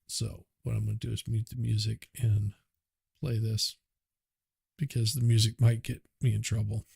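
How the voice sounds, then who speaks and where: A man's voice: lowish voice, flat delivery, creaky, darkish tone, breathy edge, no room sound, studio quality. A man hosting a livestream, chatting casually and muttering as he tweaks his audio on a cheap USB microphone. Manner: unhurried, chatty, mutters while working. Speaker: livestream host. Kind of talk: casual livestream chat while adjusting audio. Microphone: cheap USB mic.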